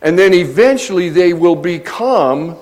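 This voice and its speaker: A man's voice: high-pitched, lively intonation, unhurried, darkish tone. A middle-aged man teaching a sermon, explaining scripture step by step and growing emphatic when he reads passages aloud. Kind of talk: expository sermon.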